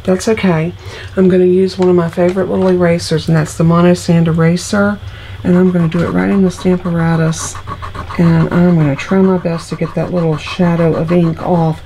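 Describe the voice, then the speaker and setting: A woman's voice: fairly low pitch, little pitch movement, brisk, dark tone, slight background noise, low-quality recording. Congested woman teaching card-making. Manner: chatty, homey, talks through each step. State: congested, recovering from illness. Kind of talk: casual craft tutorial.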